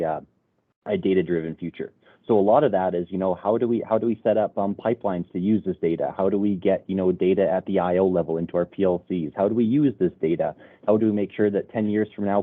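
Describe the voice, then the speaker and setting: Low-voiced man on a phone-like line. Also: no room sound. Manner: casual, upbeat, many fillers. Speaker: automation specialist. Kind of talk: webinar self-introduction. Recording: phone-like web call audio.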